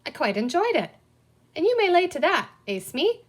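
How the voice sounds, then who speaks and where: A boisterous little pirate captain from a storybook, acted out by a woman. The voice is pitched high and loud, with theatrical, exclamatory seafaring talk. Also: very animated, normal speed. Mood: cheerful. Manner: theatrical, exclamatory, old salty sailor talk.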